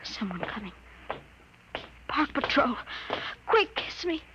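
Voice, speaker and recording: A woman's voice: sits high, very animated, slow pace, exaggerated voice. A woman acting an anxious, proud young woman in a 1950s radio drama. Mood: urgent. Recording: faintly noisy old radio broadcast.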